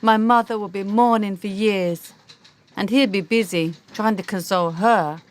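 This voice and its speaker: Woman acting a young runaway noblewoman, medium pitch, self-assured, scheming, poised, radio drama. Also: moderate pace, lightly acted. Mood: smug.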